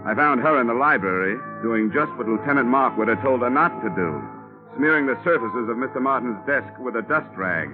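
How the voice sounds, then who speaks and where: An actor playing a wry, wisecracking insurance investigator who narrates a 1950s radio detective drama. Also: male voice, mid-range voice, expressive, fairly quick, natural voice. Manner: wry, wisecracking, hard-boiled. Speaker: lead and narrator. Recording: tinny old radio broadcast with music.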